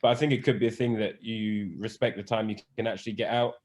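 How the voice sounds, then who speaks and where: A man with a fairly low voice talks in a casual interview. He muses hesitantly, pausing on fillers and starting sentences over as he works out his answers. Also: fairly even intonation, darkish tone. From born in England, raised in Spain, Scottish parents. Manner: hesitant, thinks aloud with fillers and restarts. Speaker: podcast guest, cruise hospitality manager.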